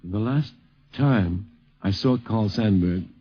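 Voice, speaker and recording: A man reminiscing in a deliberate, measured way, as a guest critic on a 1950s radio recording. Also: lowish voice, dull audio, soft background music. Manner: slow, deliberate, measured phrasing. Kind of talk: spoken reminiscence.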